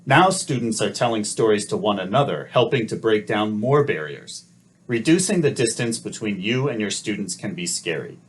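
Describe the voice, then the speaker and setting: A middle-aged man with a low, slightly husky voice talks through a teacher-training lesson. He reads a prepared script calmly and explains each point in a measured, even way.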